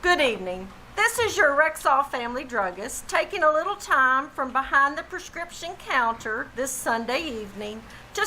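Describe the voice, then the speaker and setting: A woman playing an earnest family druggist delivering a 1940s-style radio commercial in a measured, formal way. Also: sits high, bright, forceful tone, a little hiss or hum, full character voice, rough recording. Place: live small theater stage.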